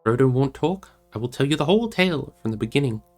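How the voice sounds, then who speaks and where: A man acts out a cheerful young male hobbit from a storybook, pitched a little above his plain talk. He is frank and eager, teasing his friends bluntly, with music playing behind him. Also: animated, quick pace, natural voice.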